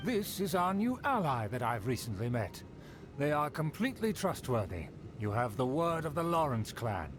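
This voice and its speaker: An actor playing a haughty, scheming middle-aged aristocrat in a video game, speaking in a pompous, indignant manner. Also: male voice, mid-range voice, sing-song, normal speed, background noise, close to an everyday voice.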